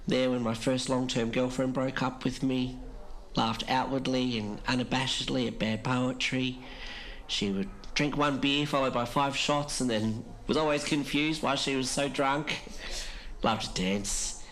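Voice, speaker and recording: A man playing a halting, grieving older man. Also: medium pitch, fairly bright tone. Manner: halting, reflective. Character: grieving older man recalling two dear lost friends. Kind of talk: audio drama documentary interview.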